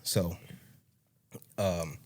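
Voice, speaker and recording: A man's voice: deep voice, steady tone, extremely slow, a little mellow. Laid-back slangy man in his late thirties. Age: 30s. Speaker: podcast co-host. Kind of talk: casual chat with his wife.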